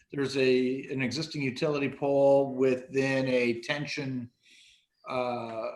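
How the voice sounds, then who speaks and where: A male planning commissioner asks a question at a public hearing, feeling his way through it. His voice sits at mid pitch and carries a roomy echo. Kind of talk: asking questions at a public meeting.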